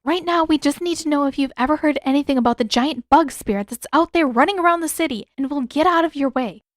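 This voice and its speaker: Woman voicing an insistent, higher-pitched teenage girl. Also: fast, no echo, studio quality, natural voice. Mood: urgent pleading. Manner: blurting, insistent. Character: urgent, defensive teenage girl, protective of her friend.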